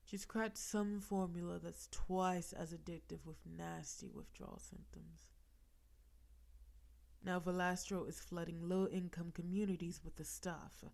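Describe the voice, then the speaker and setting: A woman's voice: on the low side, steady tone, a little mellow. A woman playing a flirty, menacing mafia boss in an audio roleplay, confident and smug, with a teasing, suggestive delivery. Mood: businesslike, smug. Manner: teasing, suggestive, slow deliberate delivery with breathy asides. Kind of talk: audio roleplay with gumshoe cliches.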